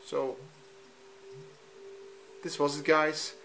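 A man from Belgium, a native Dutch speaker with a Dutch accent, talking off camera in a halting, simple way while he films his TV screen at home. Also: a little high, level tone, creaky, sharp tone, a little hiss or hum. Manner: halting, frequent ums, simple non-native phrasing. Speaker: hobbyist youtuber. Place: room at home, filming a TV screen.

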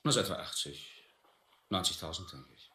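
An actor playing a guarded rogue sea captain in a radio drama, wary and inclined to bluster. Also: male voice, sits low, expressive, normal speed, bright, forceful tone, full character voice. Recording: roomy old radio-play recording.

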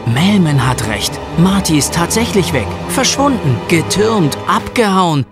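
Expressive male storyteller, lively intonation. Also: high voice, unhurried, over music. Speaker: narrator. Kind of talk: scripted children's audio drama narration.

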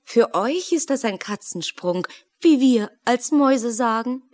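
Courtly mouse queen for kids audio story, high voice, slow and formal, gracious. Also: animated, a little mellow, dry recording, clean studio sound. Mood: helpful. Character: gracious, dignified queen of the mice. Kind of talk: children's audio drama acting.

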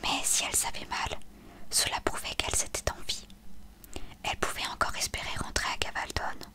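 A woman reading a story aloud as scripted narration, expressive and hushed. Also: normal speed, whispered. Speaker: audiobook reader.

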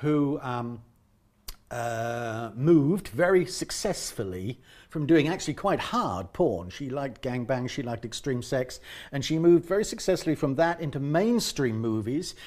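A man's voice: medium pitch, a little slow. A man interviewing a guest in a chatty, talkative way, often restarting his sentences. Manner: talkative, animated, frequent restarts. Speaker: interviewer and director.

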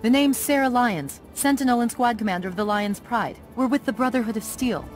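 An actress playing a no-nonsense young woman squad commander in a video game, brusque and authoritative with military briskness. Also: a little high, natural voice. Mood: brisk, firm. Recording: game audio with music underneath.

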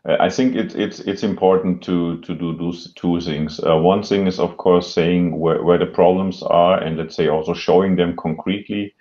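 A middle-aged man with a low, deep voice explains his view on a panel. He is earnest and often repeats words, and the call sounds slightly muffled. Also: steady tone, moderate pace, few pauses, darkish tone. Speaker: panelist, security expert. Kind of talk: panel discussion, conversational explanation. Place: room indoors, video call. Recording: dull video-call audio.

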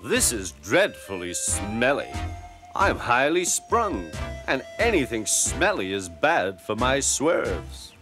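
A man's voice: mid-range voice, sing-song, slow. A man voicing a fussy, haughty female diesel railcar who speaks in a prim, finicky way. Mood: disdainful. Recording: music playing behind.

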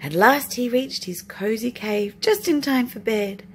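A woman's voice: on the high side, unhurried. A woman narrating a children's storybook expressively as a storytime reader. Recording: noisy home recording.

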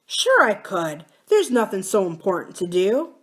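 A woman's voice: medium pitch, wildly swooping pitch, measured pace, a bit bright. A woman voicing a kind, earnest, gullible young male farmer in an audiobook, eager and reassuring. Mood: tender. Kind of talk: audiobook character dialogue.

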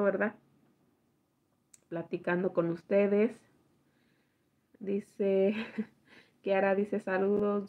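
A woman, hoarse from allergies, chatting slowly with viewers and reading their comments aloud on a live stream from home at night. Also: mid-range voice. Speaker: livestream host reading chat. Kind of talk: casual live chat with viewers.

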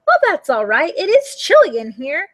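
A woman's voice: very high voice, huge pitch swings, moderate pace, a bit bright, dry recording, voice pushed up, heavily acted. A woman voicing a sweet, frail, very old woman who is hard of hearing, cheerfully fussing over a guest in a storybook. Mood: cheery. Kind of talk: storybook character voice.

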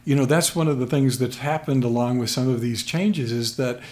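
An older American man speaks as an expert guest in an interview, at a mid-range pitch. He explains in measured phrases with small pauses, into a desk microphone in a small room. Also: a little mellow. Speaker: guest expert, sports sociologist. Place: small plain room at a table, indoors. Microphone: desk microphone on stand.

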